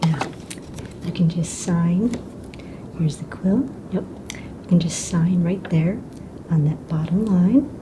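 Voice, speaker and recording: A woman whispering softly in a breathy voice, playing a casual, unbothered fantasy mistress of ceremonies in an ASMR roleplay. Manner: casual, hesitant, matter-of-fact explaining. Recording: clear low rumbling background noise.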